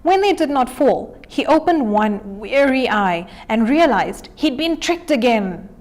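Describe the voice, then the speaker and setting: A woman's voice: high-pitched, sing-song, a little slow, a little mellow. A woman reading a children's storybook aloud. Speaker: story reader.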